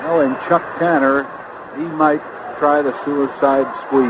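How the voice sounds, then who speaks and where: A man's voice: on the high side, steady tone, unhurried. An American man giving conversational baseball commentary on a radio broadcast. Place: ballpark broadcast booth. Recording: dull, very noisy 1970s radio broadcast. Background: loud stadium ambience and noise.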